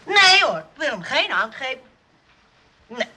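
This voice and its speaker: A woman's voice: sits high, sing-song, unhurried, pushed and bright, heavily acted. An actress playing a fretful, bossy and well-meaning nursing sister in a Dutch radio play, anxious and hesitant in her talk. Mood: indignant, upset. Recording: noisy old radio play recording.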